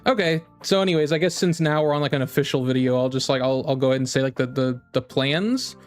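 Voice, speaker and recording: Male game streamer, medium pitch, loose conversational delivery with repeated phrases, music behind. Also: fast talker. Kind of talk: casual livestream chat.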